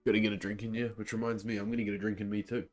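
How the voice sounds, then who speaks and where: A man's voice: lowish voice, flat delivery, racing, faint music behind. A young man from New Zealand with a New Zealand accent, chatting casually to viewers while reacting live to a cricket match. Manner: laid-back, chatty, restarts often. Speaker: live stream host.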